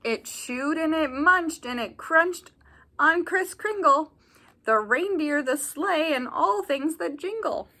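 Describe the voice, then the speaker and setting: A woman reading a children's picture book aloud like a teacher, animated, with asides and questions to young listeners. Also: high-pitched, slow pace.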